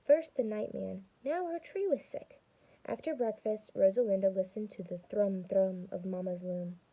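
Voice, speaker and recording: Creaky, very dark-voiced young woman reading aloud. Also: medium pitch, low-quality recording. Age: young adult. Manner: expressive, pauses to explain to young listeners. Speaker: student reading aloud to children. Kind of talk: storybook read-aloud with teaching asides.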